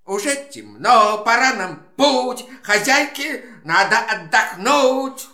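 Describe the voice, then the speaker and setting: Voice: mid-range voice, lively intonation, takes it slowly, sharp tone, echoey room. An actor voicing a foolish, polite goat in a children's audio play, with bleats and hemming.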